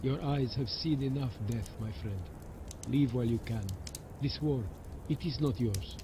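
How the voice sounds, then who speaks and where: A man's voice: medium pitch, unhurried, lightly acted. Male actor as earnest, disillusioned wartime ally for a game, mid-range voice, solemn, noisy bird-filled ambience. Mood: somber. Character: grave, disillusioned wartime rebel ally, pleading. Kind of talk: video game cutscene acting. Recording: clear background noise, birds, outdoor ambience.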